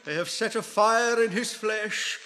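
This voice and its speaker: An actor playing a proud old ruler sunk in mad despair, with haughty theatrical delivery in a radio drama. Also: male voice, high-pitched, a little slow, pushed and bright, a little hiss or hum. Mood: bitter despair.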